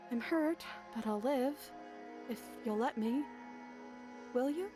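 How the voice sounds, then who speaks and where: A woman's voice: high voice, takes it slowly, music in the background. A woman playing a captive young princess in a horror visual novel, composed and coaxing despite her wounds. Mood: wary, pleading. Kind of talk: dramatic visual novel voice acting.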